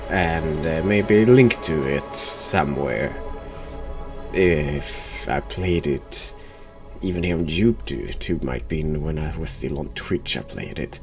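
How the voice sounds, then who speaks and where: A man congested with a cold, hosting a horror game playthrough in a hushed ASMR style, halting and sometimes dropping into whispers. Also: rumbling low. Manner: slow, halting, drops into whispers. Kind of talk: hushed ASMR-style game commentary and read-aloud narration.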